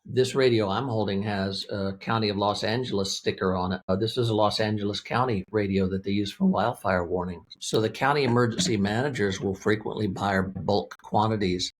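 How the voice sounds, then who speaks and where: Low-voiced man explaining things, with background noise. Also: a little fast, few pauses. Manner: plain-spoken, explanatory, lists points methodically. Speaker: interview guest, weather radio company representative. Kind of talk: conversational product explanation.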